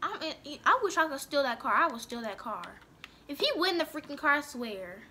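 Young female gamer, bossy and foul-mouthed, high and piercing. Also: animated, moderate pace, bright, forceful tone, slight background noise. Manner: bickering, bossy, swears a lot. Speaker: gaming vlogger. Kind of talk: casual gameplay commentary.

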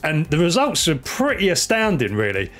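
A man's voice: fairly high pitch, wildly swooping pitch, normal speed, a bit bright, slight background noise. A man, explaining tech in a casual, chatty vlog style. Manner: informal, chatty, hands-on explaining. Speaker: amateur radio YouTuber.

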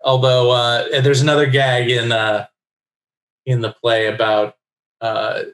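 A man's voice: medium pitch, flat delivery, slow, a bit bright. A middle-aged man with an American accent, explaining things chattily in a casual interview. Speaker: interview guest, comics writer. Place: book-lined room at home on video call. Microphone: desk microphone on video call. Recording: roomy, echoey home recording.

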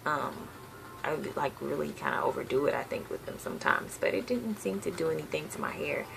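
A woman's voice: low-pitched, sing-song, fairly quick, over music, rough recording. A young woman from the South, chatting casually on a home vlog, rambling with lots of ums and self-corrections. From Southern United States. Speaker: hair vlogger. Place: bedroom, seated on a patterned couch, indoors. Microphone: webcam at desk distance. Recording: gravelly voice with clear background hiss.

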